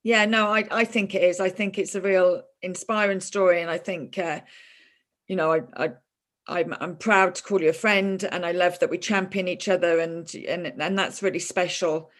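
A woman's voice: on the low side, fairly even intonation, moderate pace. A woman hosting a podcast, talking casually with a close friend and often restarting her sentences. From moved to Ireland in 2000. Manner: chatty, warm with a friend, many fillers and restarts. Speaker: podcast host. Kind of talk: casual interview chat.

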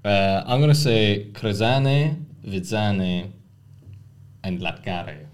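A man's voice: fairly low pitch, extremely slow, clear, resonant tone. A young man co-hosting a podcast, talking casually with lots of hesitations and dry humor. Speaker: podcast co-host. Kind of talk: casual chat.

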